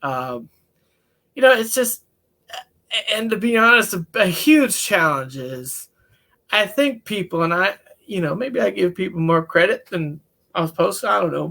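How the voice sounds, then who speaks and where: A man talking as a counselor in a casual, candid discussion, with a relaxed, folksy manner. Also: high-pitched, gravelly. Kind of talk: casual podcast-style discussion.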